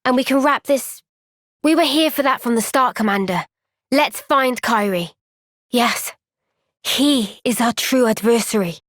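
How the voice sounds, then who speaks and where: A woman playing an earnest, gentle young soldier heroine in a fantasy video game, soft-spoken, sincere and thoughtful. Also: on the high side, unhurried, no echo, studio quality, lightly acted. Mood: sincere, steady. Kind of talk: video game cutscene voice acting.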